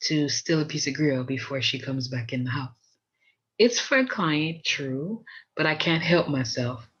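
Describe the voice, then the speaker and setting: A woman's voice: low voice, husky. American woman storyteller, slightly dull recording. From Miami, USA. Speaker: podcast host. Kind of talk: personal storytelling introduction.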